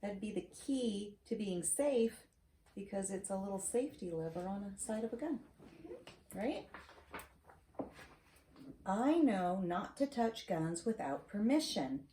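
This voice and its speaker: Storyteller: middle-aged woman, soft-edged mellow tone, encouraging and chatty read-aloud for kids, roomy sound. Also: mid-range voice, animated, measured pace, pauses often. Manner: encouraging, asks questions and checks understanding with "right?". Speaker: storyteller reading to grandchild. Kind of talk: children's picture-book read-aloud with chatty asides. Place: wood-shelved home study, indoors. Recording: roomy echo, faint noise, clicks.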